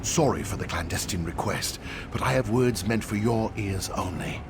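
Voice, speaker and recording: Fantasy game townsman, acted by a man: earnest, secretive, articulate, lively intonation, noticeable noise in the background. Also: lowish voice, normal speed. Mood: confiding. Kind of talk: AI-voiced fantasy game dialogue.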